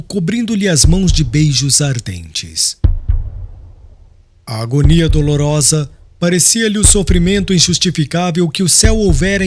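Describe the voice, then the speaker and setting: Casting: male audiobook narrator, slightly high voice, very varied intonation, solemn dramatic reading over soft music.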